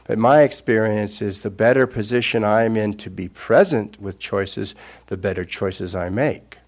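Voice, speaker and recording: An older man, a Buddhist teacher, giving an unscripted Dharma talk in a gentle, reflective way with pauses. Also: lowish voice.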